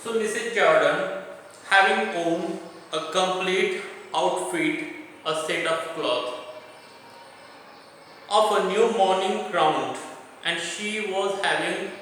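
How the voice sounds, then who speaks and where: A man's voice: high voice, fairly bright tone. A man with an Indian accent teaching English literature as a lecturer, explaining a text and its word meanings in short, repeated, didactic phrases. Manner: didactic, repetitive, pausing between short phrases. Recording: very echoey hall, distant mic, faint noise.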